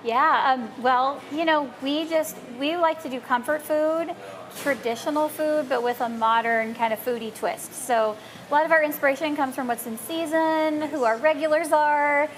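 A woman's voice: high-pitched, normal speed, a little hiss or hum. A middle-aged American woman chatting casually and animatedly as an interview guest about her business. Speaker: cafe co-owner, interview guest. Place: small cafe, indoors.

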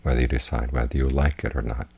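A man with a husky voice giving a guided meditation talk as a Buddhist teacher, in measured, plainspoken instruction. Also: rumbling low, flat delivery, darkish tone, muffled recording.

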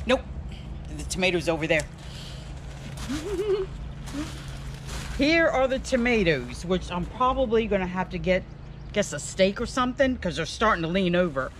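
Older American female, medium pitch, playful casual vlogger, light outdoor noise. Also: very animated, a little slow, pauses often. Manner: playful, self-deprecating. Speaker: vlogger showing her home garden. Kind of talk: casual chat to camera. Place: outdoors in a fenced backyard. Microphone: handheld camera at arm's length. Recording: clearly noisy outdoor ambience.

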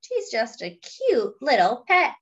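A woman reading a picture book aloud to young children and commenting on the pictures, expressively and with pauses for emphasis. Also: on the high side, wildly swooping pitch, a bit bright, breathy edge, lo-fi sound. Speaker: children's storybook reader.

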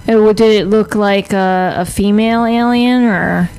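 A woman's voice: mid-range voice, steady tone, unhurried, a little mellow, smooth, low-quality recording. A young woman co-hosting a casual radio interview, asking tentative, conciliatory questions. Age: young adult. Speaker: radio show co-host. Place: indoor room around a table. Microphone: handheld microphone, close.

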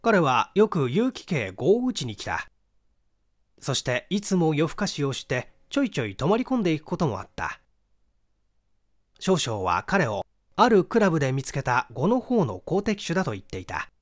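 A man's voice: fairly high pitch, huge pitch swings, normal speed. A man reading a mystery novel aloud as scripted literary narration. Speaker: audiobook reader.

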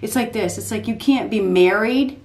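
A woman in her fifties giving an unscripted tarot reading at a desk in a small dark room, repeating herself emphatically as she thinks aloud. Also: a little high, moderate pace. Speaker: tarot reader.

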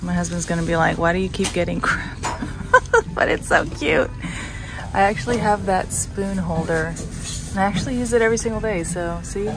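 A woman's voice: on the low side, rough recording. A young woman vlogging casually while browsing a large store, chatting and murmuring to herself as she films on a handheld phone. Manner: chatty, soft-spoken, often murmuring to herself. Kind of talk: casual shop-along commentary. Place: large retail store indoors. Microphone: handheld phone camera. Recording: loud music under the voice. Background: loud background music.

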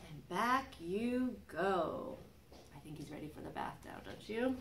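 A middle-aged woman reads a picture book for small children at an unhurried pace. Her pitch rises and falls widely in sing-song storytelling. She is recorded indoors from a few feet away, with faint room noise. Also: lively intonation. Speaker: children's librarian. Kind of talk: picture-book read-aloud to young children. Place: library reading area with magazine racks, indoors. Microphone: camera microphone a few feet away.

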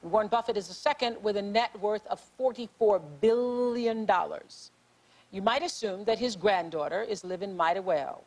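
A woman's voice: medium pitch, normal speed, darkish tone, low-quality recording. A woman hosting a television talk show, chatty and affirming, often backing up her guest. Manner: chatty, affirming, many mm-hmms.

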